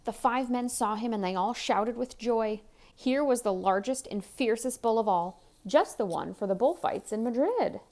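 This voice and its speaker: A woman reads a picture book to young children in a highish voice with a slightly creaky edge. Her delivery swings widely and expressively, and she chats to the listener between passages. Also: normal speed. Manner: expressive, chatty, asks the listener questions. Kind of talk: animated read-aloud storytelling for young children, with asides to the listener.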